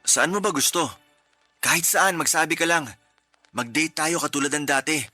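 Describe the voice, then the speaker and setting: A man playing a protective, jealous young husband in a radio drama, speaking calmly and gently persuasively. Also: fairly high pitch, normal speed, faint music behind. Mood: tender.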